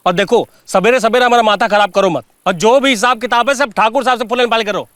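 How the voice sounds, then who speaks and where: An actor playing a curt, bullying farm overseer in a TV crime drama. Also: male voice, high voice, quick pace, fairly bright tone, no echo, studio quality. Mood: irritated. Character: brusque, irritable farm overseer. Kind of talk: television crime drama acting. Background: faint music.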